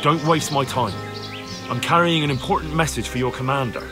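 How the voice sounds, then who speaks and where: A young game hero, acted by a man, mid-pitched, dutiful and guarded in his plain speech. Music plays clearly behind him. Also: a little fast, close to an everyday voice. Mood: impatient. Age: young adult. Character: plain-spoken young male peasant recruit, guarded, dutiful. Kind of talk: video game cutscene acting.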